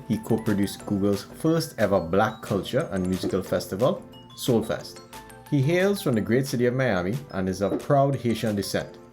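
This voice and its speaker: A man's voice: fairly low pitch, a little mellow. A man hosting a podcast, reading a guest's biography and then chatting with him in an upbeat way. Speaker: podcast host. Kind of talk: scripted intro then casual interview.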